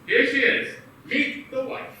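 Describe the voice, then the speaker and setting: A man's voice: a little slow, bright, forceful tone. An actor in a radio play voicing a cheerful young man returning home to his brother. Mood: hearty. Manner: hearty then earnest. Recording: echoey room from far off with noise.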